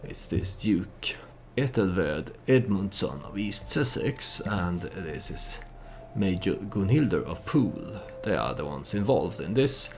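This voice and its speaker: Deep, gravelly-voiced man narrating a game. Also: very animated, faint music behind. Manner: hesitant, halting, thinking aloud. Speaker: let's play host. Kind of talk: storytelling game commentary.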